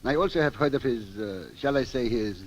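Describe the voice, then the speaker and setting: In dull vintage radio sound, a man plays a dismissive police lieutenant. He is pompous and formal, with lively, condescending swings of intonation. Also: mid-range voice, a little fast, slight background noise, close to an everyday voice. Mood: condescending, skeptical. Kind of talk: old-time radio drama acting. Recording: dull old radio recording.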